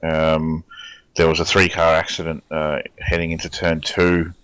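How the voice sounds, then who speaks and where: An Australian race director in an online interview, explaining rulings in a measured way with frequent ums. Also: male voice, rumbling low, completely flat delivery, fairly bright tone, smooth, no echo, poor audio.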